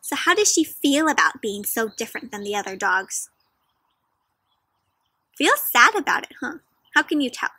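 A young adult woman hosting an interactive picture book read-aloud, questioning young children directly in an animated, teacherly way. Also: fairly high pitch, normal speed, long pauses, slightly rough, no room sound. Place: outdoors in a leafy garden.

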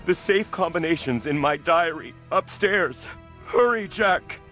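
Desperate grieving father, grave, urgent, slightly high voice, video game, faint music behind. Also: animated, measured pace, sharp tone. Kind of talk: video game cutscene acting.

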